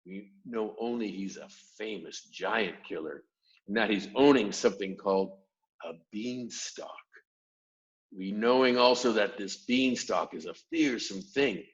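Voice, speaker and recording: A kindly old giant speaks in a soft, whispery hush, his grammar charmingly mangled, as a man puts him on. He is calm and confiding in a storybook reading. The sound is dull and lo-fi. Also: fairly low pitch, unhurried. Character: big friendly old male giant, gentle and hushed.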